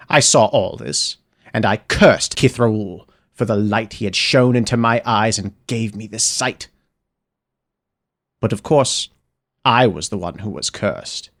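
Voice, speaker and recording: A man narrating a dark fairy tale in the first person, with expressive, dramatic storytelling. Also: medium pitch, vocal fry. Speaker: audiobook narrator.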